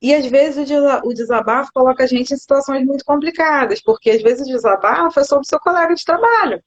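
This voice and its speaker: A woman teacher with a fairly high voice reflects and explains her ideas at length. She speaks over a live video call, and the audio comes through dull and lo-fi. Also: fast, a little hiss or hum. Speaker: schoolteacher. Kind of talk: casual conversation on a live stream. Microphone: phone or laptop on a live video call.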